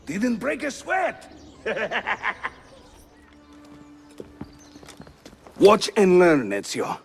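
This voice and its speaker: A man's voice: high voice, takes it slowly, gravelly, slight background noise, heavily acted. A man with a Turkish accent playing a jovial, teasing, hospitable Ottoman assassin leader in a video game. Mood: playful. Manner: animated, theatrical, teasing. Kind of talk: video game dramatic acting.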